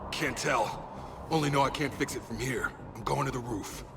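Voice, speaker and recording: A man's voice: mid-range voice, moderate pace, vocal fry, background noise, close to an everyday voice. An actor playing an injured male soldier who is stubborn and speaks in clipped lines. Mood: determined. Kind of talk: video game voice acting.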